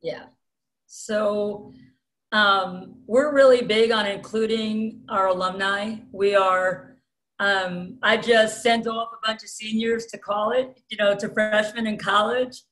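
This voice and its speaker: A woman's voice: mid-range voice, fairly even intonation, slow, fairly bright tone. A middle-aged woman from New York with a thick New York accent, a strength coach talking bluntly as a guest over a webcam call from a room at home. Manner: blunt, self-described alpha female. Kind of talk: casual interview talk. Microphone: webcam on video call. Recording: roomy video-call echo.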